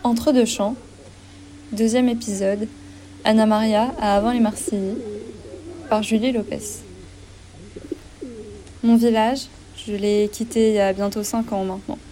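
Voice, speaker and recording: Young French woman host, 20s; warm, mellow and calm narrator. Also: a little high, unhurried, long pauses, a little hiss or hum. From France. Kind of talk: scripted narration and interviewing.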